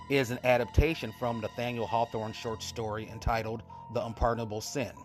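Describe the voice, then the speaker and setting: Male host, medium pitch, slow deliberate pace, scripted intro, faint music behind. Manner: deliberate, addressing listeners directly. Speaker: host of an old-time radio horror show.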